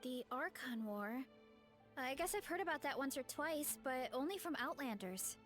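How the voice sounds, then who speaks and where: A high-voiced, earnest young heroine. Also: female voice, expressive, normal speed, fairly bright tone, faint music behind. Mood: thoughtful. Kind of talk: animated game character acting.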